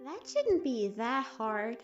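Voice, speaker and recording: A woman's voice: on the high side, very animated, takes it slowly, muffled recording, soft background music. A woman playing a loyal, eager young monster kid in an audio drama, talking in informal youthful slang. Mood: uneasy. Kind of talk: amateur audio drama character acting.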